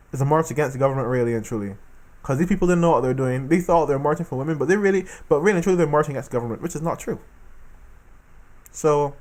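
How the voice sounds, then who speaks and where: Animated Caribbean man giving political commentary. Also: medium pitch. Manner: animated, repeats phrases for emphasis. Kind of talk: casual opinion monologue.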